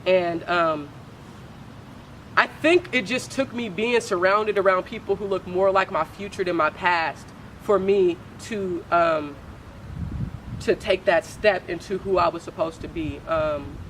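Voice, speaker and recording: A young woman talks in a casual interview, candid and loose with slang and profanity. Her voice is deep for a woman but carries a bright, tense edge. She speaks as a poet guest. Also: measured pace, slight background noise, poor audio. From Oakland, California.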